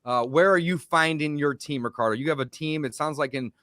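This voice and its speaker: A man's voice: a little high, a little fast, fairly bright tone, no room sound, studio quality. A man hosting a live panel, upbeat as he opens the floor and moderates questions. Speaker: host. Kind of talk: casual live panel chat.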